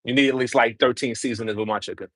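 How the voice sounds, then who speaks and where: A man's voice: mid-range voice, fairly even intonation, quick pace, fairly bright tone, breathy edge, dry recording. A man from Brooklyn, chatting casually with viewers while cooking on a livestream. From New York City, Brooklyn. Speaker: home cook livestreaming.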